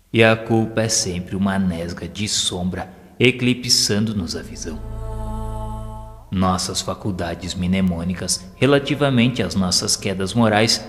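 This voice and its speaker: A Brazilian man narrates an audio novel in a low register. His reading is formal and measured. The voice rings with long hall reverb, and music plays behind it. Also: a bit bright.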